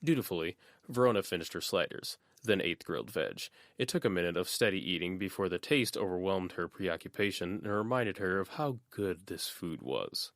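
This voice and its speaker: Deep-voiced male audiobook narrator. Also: lively intonation, fairly quick, fairly bright tone, dry recording, studio quality. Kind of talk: scripted narration.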